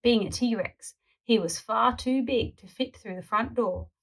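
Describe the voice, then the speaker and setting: A woman narrates a children's story as a read-aloud host. Her voice sits a little high, and she reads very slowly in an animated storytelling style. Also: poor audio.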